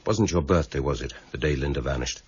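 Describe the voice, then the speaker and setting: An actor playing a stern, brooding police detective in a radio crime drama, questioning in a measured way. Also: male voice, a bass voice, husky, dull audio, exaggerated voice. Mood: stern, pressing. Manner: measured, interrogating. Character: probing, brooding male senior police detective, stern and authoritative.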